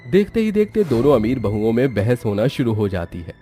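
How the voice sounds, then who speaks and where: A man's voice: mid-range voice, sing-song, brisk, mellow, faint music behind. A man narrating a scripted moral story.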